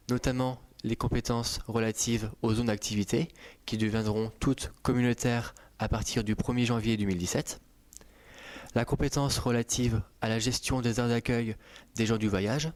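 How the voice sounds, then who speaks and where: A man delivering a formal procedural briefing, setting out points methodically in full administrative sentences. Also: medium pitch. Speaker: government official.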